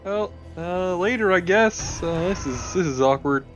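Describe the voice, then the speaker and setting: A man's voice: high voice, expressive, measured pace, fairly bright tone. A man chatting casually as a co-commentator during a video game let's play. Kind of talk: casual banter over gameplay. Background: game music.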